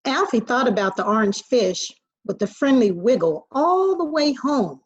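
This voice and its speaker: A woman's voice: on the high side, normal speed, slightly rough, no echo. A woman teacher reading a children's picture book expressively, on a dry, dull recording. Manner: expressive storytelling.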